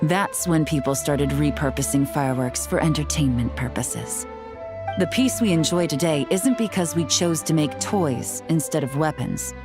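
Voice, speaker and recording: An actress plays a worldly, unflappable woman of a fantasy game. Her voice is low, her delivery composed and confident, and music plays clearly behind her. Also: natural voice. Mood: calm, assured. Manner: composed, confident, measured explaining. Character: calm, worldly, self-assured woman from adventure game. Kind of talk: video game voice acting.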